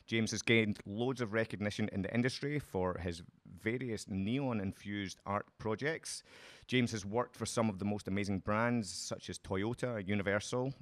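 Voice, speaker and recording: Upbeat podcast host, low voice. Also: male voice, normal speed. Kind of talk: scripted-sounding guest introduction. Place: podcast studio.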